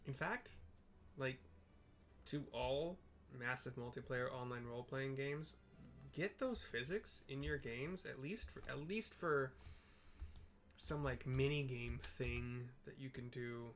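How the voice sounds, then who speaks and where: A man's voice: mid-range voice, a bit bright, slight background noise. Playful man doing casual gaming commentary. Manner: playful, slangy, breaks into singing and sound effects. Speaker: gamer narrating own playthrough. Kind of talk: casual gameplay commentary with read-aloud dialogue.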